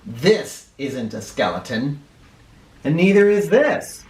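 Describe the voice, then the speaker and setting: A man reading a children's picture book aloud in an expressive, instructive way, speaking directly to young listeners. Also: on the high side, slow pace. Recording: roomy echo, distant from the microphone.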